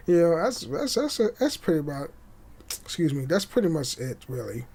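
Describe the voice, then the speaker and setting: A young man hosting a podcast, chatting casually and haltingly, with repeated words and frequent swearing. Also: fairly high pitch, darkish tone, slightly rough. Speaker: podcast host. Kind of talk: casual rambling chat with swearing. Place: small home room indoors. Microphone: condenser mic on boom arm.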